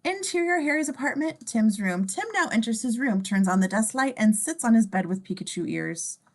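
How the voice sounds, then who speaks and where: A woman's voice: a little high, animated, normal speed. A woman reading scene descriptions for a table read, informal and given to wry asides. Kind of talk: scripted narration in casual table read.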